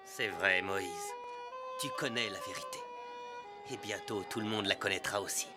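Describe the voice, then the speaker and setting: An actor playing a scheming, jealous rival prince, a smug and cold villain in a dubbed animated film. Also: male voice, low-pitched, moderate pace, loud music behind the voice, close to an everyday voice. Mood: cold, sly. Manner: menacing, smug.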